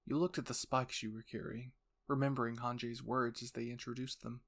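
A man narrates a dramatic scripted tale. His voice sits at a medium male pitch, and he delivers it as a storyteller. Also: level tone, fairly quick, fairly bright tone. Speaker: narrator and voice actor.

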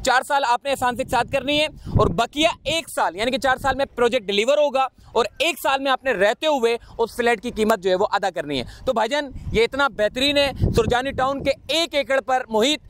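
A man in his thirties from Pakistan hosting a property show, giving a brisk promotional pitch outdoors at a construction site with a handheld mic and pressing the builder with questions. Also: fairly bright tone, slight background noise. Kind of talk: on-location property interview.

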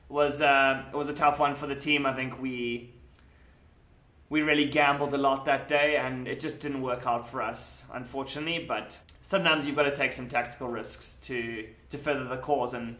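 A young man sits in a small hotel room and talks through a race day in a relaxed, matter-of-fact way, with a few small restarts. His voice is bright and forward. The camera in front of him picks up a roomy echo. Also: mid-range voice. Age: young adult. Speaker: pro cyclist vlogger. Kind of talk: casual race recap to camera. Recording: echoey room.

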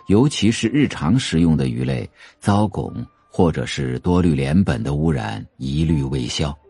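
Deep, mellow male drama narrator. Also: gravelly, husky, dry recording. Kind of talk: scripted audiobook-style drama narration. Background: faint music.

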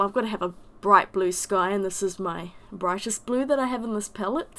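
A woman with a croaky voice from a summer cold, chattily narrating a watercolour painting voice-over. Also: medium pitch. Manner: chatty, self-deprecating. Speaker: watercolour artist.

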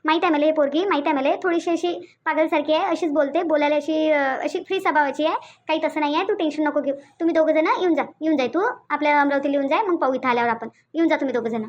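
A woman's voice: high voice, quick pace, highs cut off, close to an everyday voice. A woman voicing a protective, reassuring elder sister-in-law in a Marathi cartoon, comforting repeatedly in Vidarbha dialect. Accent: Vidarbha (Varhadi) Marathi. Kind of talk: Marathi cartoon story dialogue.

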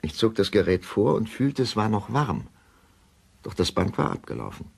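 An actor playing a troubled middle-aged male doctor, narrating a crime radio play in a grave, measured, deliberate way. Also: lowish voice, wildly swooping pitch, creaky, slightly rough, exaggerated voice. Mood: measured, sombre. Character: troubled male doctor recounting a suspicious death. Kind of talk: first-person radio drama narration.

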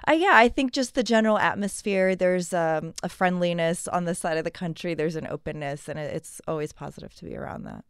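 An American woman speaks with a low, resonant voice in a close, dry recording. She talks conversationally, as an actor being interviewed about her work. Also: brisk, few pauses, smooth, dry recording. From San Diego, California.

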